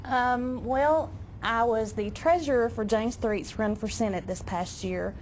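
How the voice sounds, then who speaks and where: A woman's voice: on the high side, unhurried, a little mellow, slight background noise, low-quality recording. A woman in her thirties running for city council, speaking plainly and in a friendly way on a local talk show.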